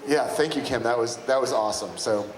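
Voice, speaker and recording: A man's voice: medium pitch, a little fast. A man with an American accent hosts a live event casually, with informal, repetitive phrasing. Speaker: event host. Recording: echoey room, clear background noise.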